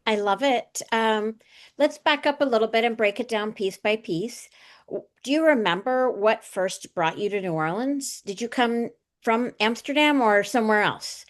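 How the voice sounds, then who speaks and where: A woman's voice: a little high, moderate pace. A woman hosting an interview show over a video call, upbeat and welcoming. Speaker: host.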